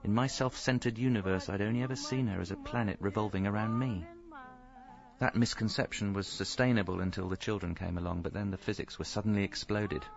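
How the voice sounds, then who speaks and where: An actor playing a rueful, self-pitying middle-aged husband, narrating his misfortunes deadpan in a radio comedy. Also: on the low side, fairly quick, gravelly. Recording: slightly dull audio, faint music.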